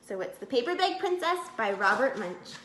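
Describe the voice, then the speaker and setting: A woman, an early childhood educator, reading a children's storybook aloud in an expressive, emphatic way. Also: a little high, moderate pace, a little hiss or hum. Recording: echoey room.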